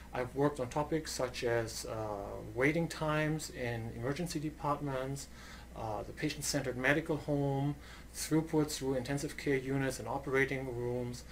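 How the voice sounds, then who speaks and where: German professor giving an online lecture. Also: male voice, mid-range voice, a little hiss or hum. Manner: earnest, with frequent fillers and restarts.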